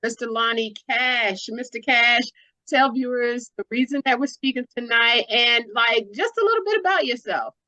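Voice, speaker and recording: A woman's voice: on the high side, moderate pace, bright, forceful tone, highs cut off. A woman hosting a conversational interview, putting questions to her guest and explaining what she means. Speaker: interviewer, host.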